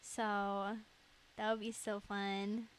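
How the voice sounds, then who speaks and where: A woman's voice: medium pitch, little pitch movement, drawn-out words, slight background noise. A young woman live streamer chatting casually in a giggly, rambling way.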